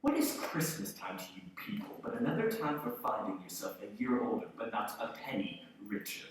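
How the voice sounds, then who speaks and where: A man's voice: fairly low pitch, huge pitch swings, fairly quick, a little hiss or hum. An actor playing a bitter, miserly old businessman, curt and scornful, in a theatrical radio play style. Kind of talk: live radio play acting. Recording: very echoey hall, distant microphone.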